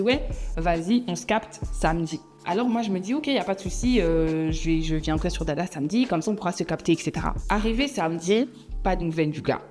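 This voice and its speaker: Young woman vlogger, lower voice, animated storytime delivery, soft music bed. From Belgium. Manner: chatty, animated, laughs often, mixes in English phrases. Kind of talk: casual storytime monologue.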